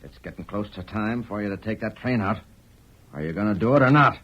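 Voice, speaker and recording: An actor playing a ruthless outlaw boss in an old radio western, barking threats in rough frontier speech. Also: male voice, fairly low pitch, expressive, brisk. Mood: threatening, bossy. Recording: muffled, hissy old radio broadcast.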